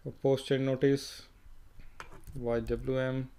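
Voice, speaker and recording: Male instructor, plain step-by-step tutorial delivery, mid-pitched, self-correcting. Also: little pitch movement, takes it slowly, warm tone, clear, resonant tone. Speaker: software trainer. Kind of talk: screen-recorded software tutorial.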